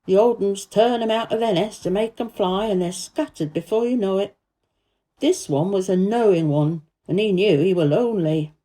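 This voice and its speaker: Woman reading as a rough, crusty old Yorkshire gardener. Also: mid-range voice, normal speed, mellow, lightly acted. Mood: fond, chatty. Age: older. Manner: crusty, rustic dialect speech. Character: gruff old Yorkshire gardener, surly but fond. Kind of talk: storybook character reading.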